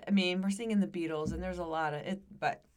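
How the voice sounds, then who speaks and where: A middle-aged American woman co-hosting a casual podcast, her voice rough and scratchy from singing, speaking in a hesitant, reflective way. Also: lowish voice, steady tone, fast. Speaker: podcast co-host and amateur singer. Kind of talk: casual conversational podcast chat.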